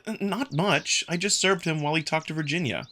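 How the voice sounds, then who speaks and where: A man's voice: a little high, brisk, bright, forceful tone, pitched above the performer's own voice. A man voicing a curious, anxious young human with a hesitant, placating way of talking. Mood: nervous, placating.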